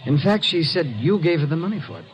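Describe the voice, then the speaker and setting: An actor playing a polite, deferential waiter in an old-time radio drama. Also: male voice, on the high side, big pitch swings, fast talker, poor audio.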